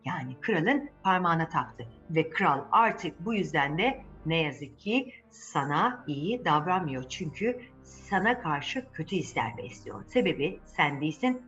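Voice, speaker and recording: A woman's voice: on the low side, fairly bright tone, muffled recording. A woman voicing a wise magical crown that explains and reassures in a children's tale. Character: wise, kindly magical talking crown giving counsel.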